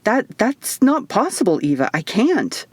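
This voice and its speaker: A woman voicing an earnest, gentle male otherworldly guardian in an audiobook, patiently explaining. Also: on the high side, sing-song, unhurried, no echo, voice pushed up. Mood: anxious.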